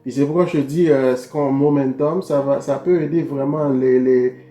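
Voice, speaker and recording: A man's voice: mid-range voice, fairly even intonation, moderate pace, dark tone. A man giving informal, encouraging talk about faith, addressing the listener directly and repeating himself for emphasis. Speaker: podcast guest. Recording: roomy, echoing room.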